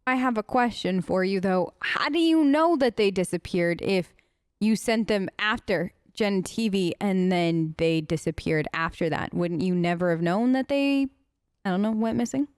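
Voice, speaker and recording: A woman's voice: mid-range voice, lively intonation, moderate pace, clear, resonant tone, no room sound, clean studio sound. A woman hosting a role-play video game let's play, chatty and skeptical, improvising casual replies in character. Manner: skeptical, chatty, playfully questioning. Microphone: close, dry microphone.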